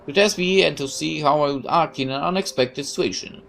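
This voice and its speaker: Naive young soldier boy, low and hesitant, read by a woman. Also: a little fast, gravelly, a little hiss or hum. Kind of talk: reading visual novel dialogue aloud.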